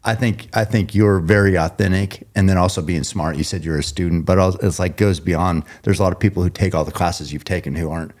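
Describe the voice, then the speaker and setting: A middle-aged man with a very deep voice hosts a relaxed podcast conversation. He rambles, breaks off his sentences and starts them over, and laughs easily. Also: brisk, few pauses. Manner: rambling, self-interrupting, laughs often. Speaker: podcast host. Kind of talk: casual interview chat.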